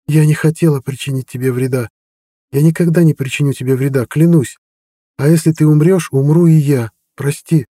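A man doing a frightened young healer woman, pitched up and pleading, with a dark, mellow tone underneath. It sounds dry and close, as in a storybook reading. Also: steady tone, moderate pace, no echo, close to an everyday voice. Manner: higher, pleading and defensive.

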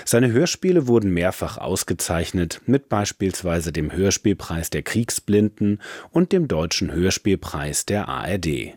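A man's voice: sits low, animated, measured pace, few pauses, a little mellow, slightly rough, no echo, studio quality. A man presenting on radio, reading a scripted introduction to a radio play.

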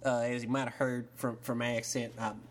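A man's voice: mid-range voice, level tone, brisk, over a noisy crowd, voice pushed down, lightly acted. A man voicing a dim-witted Texan country man who phones in to a comedy show, rambling and drawling with plenty of fillers and a put-on Texas accent. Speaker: caller. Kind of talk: improvised call-in sketch.

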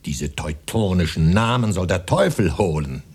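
An actor playing a harried, pompous professional poet in a German radio play, halting and muttering, easily exasperated. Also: male voice, low-pitched, expressive, a little mellow, breathy edge, exaggerated voice. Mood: laboured composing. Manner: theatrical, halting, muttering then flaring up.

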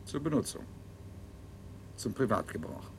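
An actor playing a cold, calculating colony administrator with a dry, matter-of-fact delivery in a radio play. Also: male voice, lowish voice, big pitch swings, measured pace, vocal fry, a little hiss or hum, full character voice. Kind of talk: 1970s German radio play acting.

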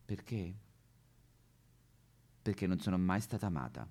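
A man's voice: low voice, animated, unhurried, darkish tone, pitched below the performer's own voice, full character voice. Man playing a brash, weary Roman streetwalker woman. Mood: sad, lonely. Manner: confrontational, coarse, slangy. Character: brash, weary Roman female street prostitute, bitter and lonely. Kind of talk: theatrical comic monologue.

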